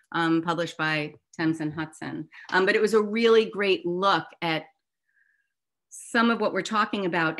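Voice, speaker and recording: A woman's voice: on the low side, fairly bright tone. A woman hosting an online book discussion in a casual, chatty and enthusiastic way. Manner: enthusiastic, informal. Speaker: host of an online photobook discussion. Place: online video call.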